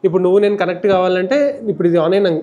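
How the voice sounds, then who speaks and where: Very fast-talking Telangana Telugu man. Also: high voice, flat delivery, mellow, dull audio. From India. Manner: explains step by step, conversational. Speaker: motovlogger and host. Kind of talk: casual hands-on tutorial vlog.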